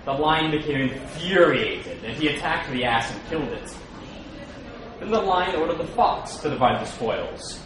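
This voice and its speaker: A man's voice: a little high, animated, normal speed, a crowd in the background. A man reading stories aloud dramatically to a live audience, recorded from a distance in a very echoey, noisy room. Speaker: reader at a public read-aloud. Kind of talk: dramatic scripted storytelling.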